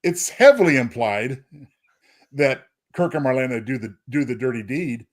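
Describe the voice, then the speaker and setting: A man's voice: mid-range voice, animated, moderate pace. A man co-hosting a podcast in casual conversational chat. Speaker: podcast co-host.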